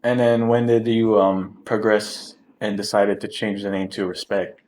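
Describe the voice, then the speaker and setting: A man's voice: on the low side, little pitch movement, unhurried, a little mellow, slight background noise. A man hosting a podcast in casual, slangy bro talk, with some swearing. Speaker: podcast host.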